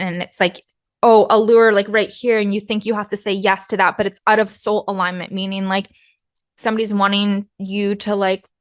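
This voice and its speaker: A woman's voice: mid-range voice, a bit bright. A young adult woman hosting a spiritual coaching podcast, talking casually and earnestly with many "like" fillers. She is losing her voice and has a cold.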